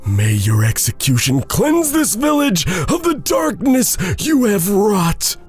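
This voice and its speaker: A man acts out a stern, thunderous village judge from a dark fairy tale. His voice is raised well above a storyteller's register and swings dramatically as he condemns with righteous force. Soft music plays underneath. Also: huge pitch swings, slow, full character voice. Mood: solemn sentencing. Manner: booming, declamatory, pronouncing each phrase with force.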